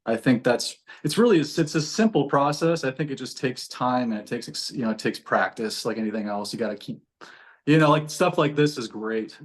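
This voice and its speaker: Earnest man rambling through casual advice. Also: medium pitch. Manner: earnest, rambling, repeats himself. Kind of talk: casual group discussion.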